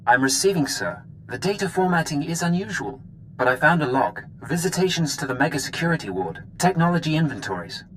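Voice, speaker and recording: An actor playing a dutiful intelligence analyst reporting in over comms in a video game, formal and precise. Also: male voice, fairly high pitch, sing-song, fairly quick, slight background noise, poor audio.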